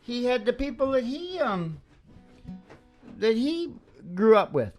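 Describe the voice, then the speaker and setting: A man hosting a homemade radio show in casual, rambling banter, with halting, folksy asides. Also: extremely high pitch, sing-song, darkish tone. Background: acoustic guitar playing.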